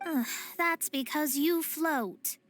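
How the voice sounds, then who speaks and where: A woman's voice: sits high, takes it slowly, a bit bright, faint music behind. An actress playing a proud young female astrologist in a video game, speaking in lofty, formal, theatrical phrases. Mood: weary, huffy. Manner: formal, archaic, lofty diction. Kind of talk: anime-style video game voice acting.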